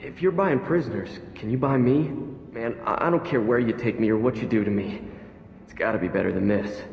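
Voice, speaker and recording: A man's voice: mid-range voice, a little fast, creaky, natural voice. A man playing a jaded, streetwise prison inmate in a video game, slangy, blunt and matter-of-fact. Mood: jaded, resigned. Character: jaded streetwise male convict, rough and resigned. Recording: echoey, muffled, faint music.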